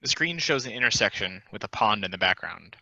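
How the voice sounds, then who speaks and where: A man's voice: lowish voice, lively intonation, fairly quick, vocal fry, bright, forceful tone, no room sound, poor audio. A man reading stage directions as a narrator in plain scripted narration.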